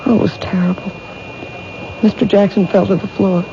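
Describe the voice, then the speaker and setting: A frightened, timid witness, played by a woman with a soft, faltering voice. The old radio broadcast sounds dull and is thick with hiss. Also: medium pitch, level tone, normal speed, close to an everyday voice. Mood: recounting. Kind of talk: 1970s radio drama acting.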